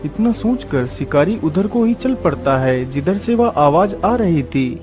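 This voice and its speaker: A man's voice: a little high, animated, unhurried, a little mellow, soft background music. A man reading scripted narration for a children's cartoon story.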